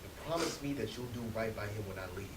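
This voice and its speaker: A man's voice: lowish voice, animated, quick pace, close to an everyday voice. A man playing an earnest, principled teenage graduate in a stage reading. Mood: pleading. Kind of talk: stage readers theatre acting. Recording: echoey room, distant mic, faint noise.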